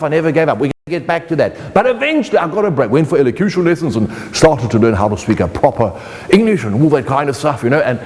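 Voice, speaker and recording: A middle-aged man with a South African accent leads a motivational lesson. His voice rises and falls with animated swings. He talks straight to the listener, and the sound rings out in a long hall reverb. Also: mid-range voice. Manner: lively, playful, speaks directly to the viewer. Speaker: motivational speaker and magician. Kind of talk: online course lecture.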